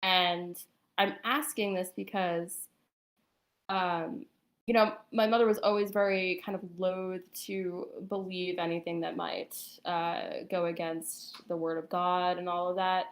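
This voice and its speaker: Woman, slightly low voice, casual storytelling, hesitant delivery, guest on a talk stream. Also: level tone, unhurried. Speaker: guest telling personal story.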